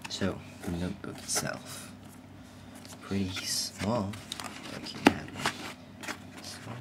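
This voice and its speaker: Slow low-voiced young man. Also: fairly even intonation. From Malaysia. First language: Malay. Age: young adult. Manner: hesitant, drifting to whispers and back. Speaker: unboxing vlogger. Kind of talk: casual unboxing narration. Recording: loud background noise. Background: packaging crinkling and clicks.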